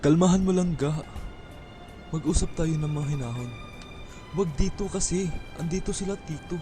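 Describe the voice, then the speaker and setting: A man's voice: fairly high pitch, normal speed, over music, close to an everyday voice. A man playing a guilty, apologetic boyfriend pleading with his partner in a radio drama. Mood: placating. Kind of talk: amateur radio drama acting.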